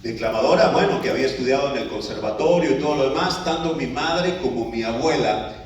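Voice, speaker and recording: A man's voice: medium pitch, brisk, a little hiss or hum. A man giving a live talk to an audience, in a conversational way with many filler words and restarts. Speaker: speaker addressing an audience. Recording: echoey room, picked up from a distance.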